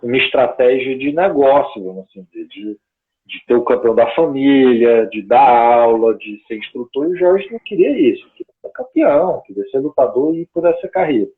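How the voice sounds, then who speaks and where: A man's voice: medium pitch, expressive, a little fast. A man telling a long story in a casual, rambling way, often checking in with little tag questions, as a guest on a call. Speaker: guest researcher. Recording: tinny phone-like call audio.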